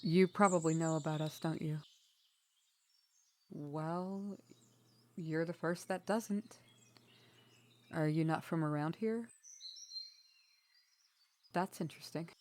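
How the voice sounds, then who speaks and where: A woman's voice: fairly low pitch, darkish tone. A woman playing an earnest, diplomatic young rebel leader in a fantasy audio roleplay. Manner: polite and apologetic to strangers, exasperated with sister.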